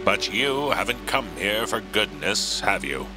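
A slow, suave radio horror host speaks over clear music. He announces like vintage radio, theatrical and ominous, weighing every phrase. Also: fairly low pitch, very animated, rough recording. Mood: showy, sly. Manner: theatrical, deliberate. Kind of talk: old-time radio announcing, theatrical scripted narration. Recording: music behind the voice.

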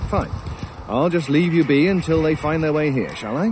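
A man's voice: fairly high pitch, moderate pace, natural voice. Urbane talking crow, acted by a man, noisy backdrop. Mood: huffy. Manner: arch, genteel, theatrically polite. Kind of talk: audio drama acting. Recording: clearly noisy bed of bird sounds. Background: crows cawing, outdoor ambience.

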